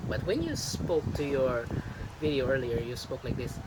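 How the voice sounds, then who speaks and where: A man's voice: medium pitch, big pitch swings, moderate pace. A young man from the Philippines critiquing a family member's video in casual chat, emphatic and lecturing, repeating his points. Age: young adult. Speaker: vlogger's son, critic. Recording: noisy home recording.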